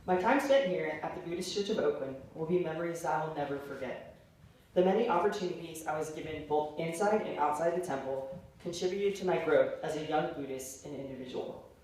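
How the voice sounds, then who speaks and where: A man's voice: a little high, a little fast, darkish tone. A young man in his late teens delivering a formal, prepared farewell speech as a graduating senior. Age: late teens, young adult. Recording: very echoey hall, distant mic, faint noise.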